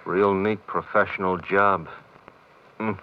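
Lowish-voiced cabbie sidekick, droll, slangy, loyal, old radio drama with muffled sound. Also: male voice, fairly even intonation, takes it slowly, a little hiss or hum. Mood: wry. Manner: colloquial, ungrammatical, droll. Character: loyal, wisecracking working-class male cab driver. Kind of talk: 1950s radio drama acting.

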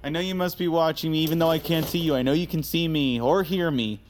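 An actor playing an exasperated, anxious confined patient in an audio drama, calling out to unseen captors. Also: male voice, a little high, steady tone, fast, slight background noise, lightly acted. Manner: agitated, calling out.

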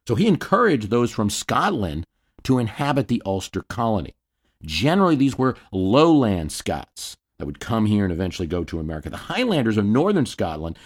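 A man with a fairly low voice narrates history like a storyteller. He gives the quoted battle cries a dramatic reading and adds wry little asides. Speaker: history podcast host.

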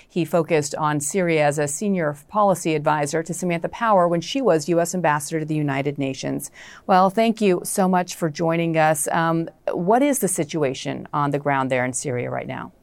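A middle-aged woman guest anchor hosting a news interview program, reading scripted lead-ins and questioning guests in a measured way. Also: low-pitched, darkish tone, dry recording.

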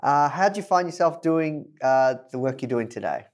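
A man hosting a business podcast, energetic in his intro and hesitant with many fillers when asking questions. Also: on the high side, normal speed. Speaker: podcast host.

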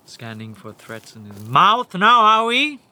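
A man's voice: sits high, very animated, unhurried, bright, forceful tone, no room sound, heavily acted. A man playing a paranoid, menacing bandit warlord in an audio drama, mocking and theatrical. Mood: suspicious, tense.

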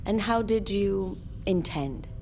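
Blunt, defiant woman pilot, animated delivery. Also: mid-range voice, slow, a little hiss or hum. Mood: dry, sardonic. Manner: forceful, argumentative. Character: blunt, defiant woman submersible pilot challenging her superiors. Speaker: actor in audio drama. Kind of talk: naturalistic audio drama acting.

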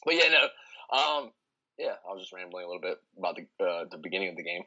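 A man co-hosting a sports podcast in casual chat, with a raspy voice and an excitable, rambling way of talking. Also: deep voice, quick pace, dull audio. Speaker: podcast co-host.

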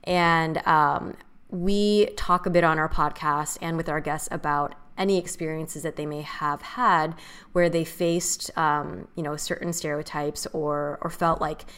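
A woman's voice: low voice, steady tone, a little slow, fairly bright tone, smooth. A woman hosting a podcast, asking thoughtful questions and affirming her guest attentively. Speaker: podcast host. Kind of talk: interview questions.

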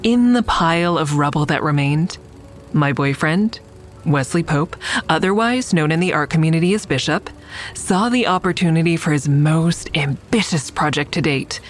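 A woman's voice: deep voice, animated, moderate pace. An actress playing a curious young woman who narrates her story in an intimate, wry way in an audio drama. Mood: intimate narration. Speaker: narrator and lead character. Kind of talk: audio drama first-person narration and natural dialogue. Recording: faint music behind the voice.

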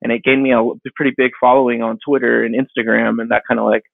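A man in his thirties, a digital artist, answering interview questions in a rambling, halting way full of fillers and restarts. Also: medium pitch, flat delivery, gravelly, dry recording. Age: 30s. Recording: dry tinny phone-like line.

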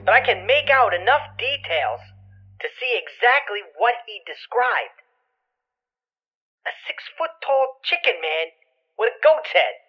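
Excitable male caller, lo-fi phone sound, faint music, slangy, profane, incredulous storyteller, audio drama. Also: very high-pitched, measured pace, long pauses, heavily acted. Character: excitable, profane barfly caller spinning a monster yarn. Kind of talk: audio drama monologue as voicemail. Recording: lo-fi radio-like phone message, music behind.

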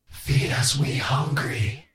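A man voicing a hungry swarm of alien parasites that speak as one in broken grammar, hushed and half-whispered. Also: medium pitch, takes it slowly, roomy sound, distant mic. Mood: chanting.

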